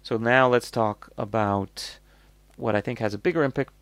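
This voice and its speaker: A man's voice: mid-range voice, normal speed, fairly bright tone, no room sound. A North American man hosting a casual, off-the-cuff product review, rambling and blunt as he thinks aloud.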